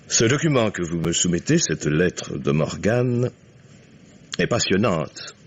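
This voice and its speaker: Shrewd, commanding older father, very low register, measured and ironic, old radio mystery style, muffled sound. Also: lively intonation, husky, heavily acted. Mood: ironic, triumphant. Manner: courteous, deliberate, suavely ironic. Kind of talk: 1950s French radio mystery acting. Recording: dull vintage radio recording.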